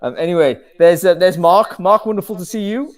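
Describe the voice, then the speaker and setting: A man's voice: high-pitched, a little fast. Rambling self-deprecating male host. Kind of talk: casual video-call chat. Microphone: video call.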